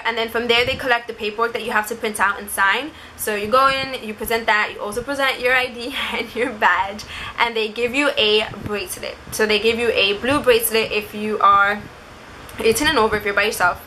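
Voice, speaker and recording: A woman's voice: fairly high pitch, steady tone, fairly quick, pushed and bright, a little hiss or hum, rough recording. A young woman telling a story and giving advice in a casual, chatty vlog style, saying "like" constantly. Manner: chatty, informal, uses "like" constantly. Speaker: vlogger.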